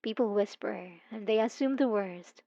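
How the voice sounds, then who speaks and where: A young woman playing an obsessive, possessive stalker student in an intimate ASMR audio roleplay, cooing softly and dramatically with sweet menace. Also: medium pitch, normal speed, natural voice. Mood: confiding, longing. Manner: soft, intimate, cooing, dramatic, drops into whispers. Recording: dull lo-fi sound with roomy echo.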